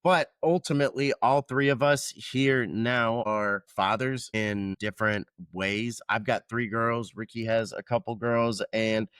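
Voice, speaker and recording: A man's voice: mid-range voice, steady tone, a little slow, few pauses, a bit bright, clean tone, no echo. A man in his thirties from Georgia who hosts a podcast and talks in a loose, rambling way with casual swearing. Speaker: podcast host, veteran. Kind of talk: casual chat.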